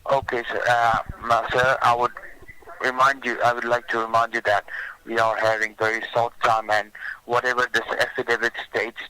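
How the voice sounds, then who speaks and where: Male phone scammer, bright forceful tone, flat intonation, formal and deferential. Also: mid-range voice, normal speed, clean tone, low-quality recording. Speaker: phone scammer posing as a government officer. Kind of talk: scripted phone pitch with improvised replies.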